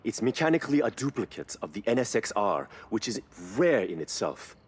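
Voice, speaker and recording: An actor playing a knowledgeable, formal classic car expert in video game cutscene dialogue. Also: male voice, on the low side, sing-song, slightly rough. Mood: measured admiration. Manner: measured, formal, reverent about cars.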